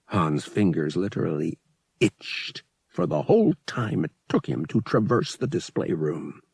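A man reading a scripted audiobook story as narrator, in a deliberate, expressive, dramatic storytelling manner. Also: on the low side, gravelly, slightly rough, no room sound, studio quality.

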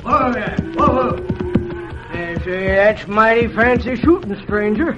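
An actor playing a gruff, plain-spoken ranch foreman with an American Western drawl in an old radio drama. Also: high voice, takes it slowly. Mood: impressed, wary. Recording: muffled old radio recording with music.

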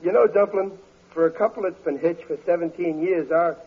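A man's voice: high voice, fairly quick, slightly rough. An actor playing a grumbling but good-hearted middle-aged American working-class father, folksy and ungrammatical, in an old radio comedy. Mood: blustery, folksy. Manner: folksy, ungrammatical, exasperated wisecracking. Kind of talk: 1940s radio comedy acting. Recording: muffled vintage radio recording.

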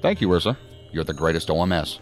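An actor playing a measured senior federal agency director in a sci-fi audio drama, formal and deliberate in his speech. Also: sits low, expressive, soft background music. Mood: commanding, businesslike.

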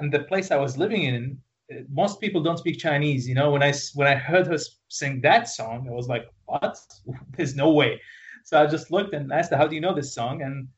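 A man from Iraq, a guest on a remote interview, telling a story in a halting, laughing way with many restarts. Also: a little high, dull audio.

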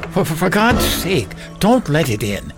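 A man playing a frightened, tender, weary old husband in a horror audio drama, with halting, anguished delivery. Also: on the high side, sing-song. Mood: fearful, pleading. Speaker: actor. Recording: loud music underneath.

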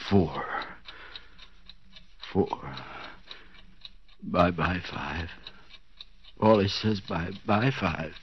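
An old, muffled radio drama recording with some noise carries a desperate man slowly losing his mind. He stammers and pleads in slow, overwrought bursts, trapped and bound. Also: low-pitched. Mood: dazed, delirious. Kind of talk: 1940s radio suspense acting. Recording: dull, noisy 1940s radio recording.

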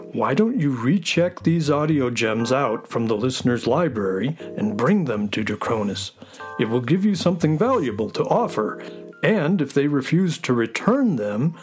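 A man plays an excitable, kindly space horse for a children's audio story. The delivery swoops and lingers slowly on words in a folksy, theatrical way, with music underneath. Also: medium pitch, close to an everyday voice. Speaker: voice actor.

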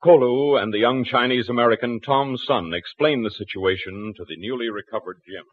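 A man's voice: mid-range voice, brisk, phone-quality sound. A man narrating and announcing an old 1940s radio adventure serial in scripted, dramatic broadcast style.

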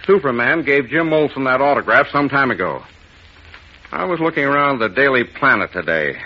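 An actor playing a gruff, stubborn, exasperated police inspector in 1940s radio style, blunt and hectoring. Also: male voice, mid-range voice, expressive, normal speed. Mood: insistent. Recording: muffled old radio drama recording.

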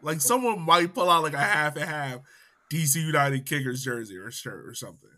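Easygoing guy hosting a podcast, bright voice, chill delivery. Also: on the high side, animated, fairly quick. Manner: easygoing, laid-back host who throws out questions. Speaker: podcast host. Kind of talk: casual podcast chat.

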